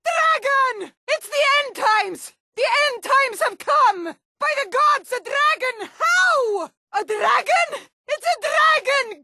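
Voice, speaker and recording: A woman's voice: very high-pitched, bright, forceful tone, exaggerated voice. A woman voicing a hardy, proud Nord warrior in a fantasy video game, speaking in an earnest, firm and plain-spoken way. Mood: shouting, alarmed.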